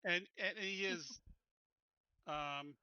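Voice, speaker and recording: A man chatting casually as a tabletop roleplaying player, hesitant and thinking out loud. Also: high-pitched, slow, smooth, no echo, lo-fi sound. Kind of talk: casual game chat.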